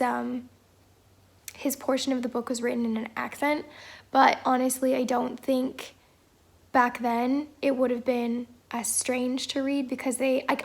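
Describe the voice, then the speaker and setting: High-voiced woman with a cold. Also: gravelly. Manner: rambling and hesitant, many fillers. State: hoarse from a cold. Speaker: vlogger. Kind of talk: casual chat. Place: at home.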